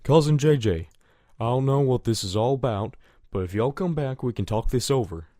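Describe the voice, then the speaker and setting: Easygoing, determined country colt, acted by a man, folksy Southern drawl, mellow and dryly recorded cartoon part. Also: mid-range voice, lively intonation, normal speed, no room sound, studio quality, lightly acted. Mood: insistent. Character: easygoing but determined young farm stallion.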